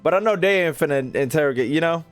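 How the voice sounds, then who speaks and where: A man's voice: a little high, expressive, quick pace, faint music behind. A young American man giving casual, joking, slangy gameplay commentary as a streamer talking to his chat. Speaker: gaming streamer.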